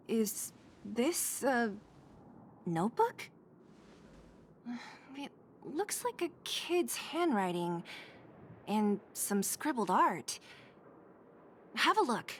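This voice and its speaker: An actress playing a curious young adventurer in a game, talking thoughtfully and a little hesitantly. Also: female voice, fairly high pitch, slight background noise. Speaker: game character exploring.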